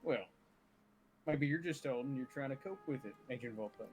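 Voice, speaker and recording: A man's voice: mid-range voice, moderate pace, soft background music. A man bantering in character as a police chief in a roleplay, often stumbling over his words.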